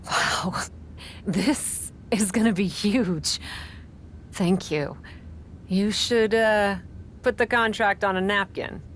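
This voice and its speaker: A woman's voice: mid-range voice, takes it slowly, long pauses, a little hiss or hum, natural voice. An actress playing a headstrong young female pro wrestler in a video game cutscene, wry and sincere.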